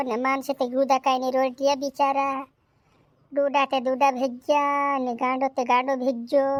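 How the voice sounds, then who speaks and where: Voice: sits high, no room sound, lightly acted. A performer voicing a cartoon village farmer who laments in repetitive, doubled words for a regional comedy.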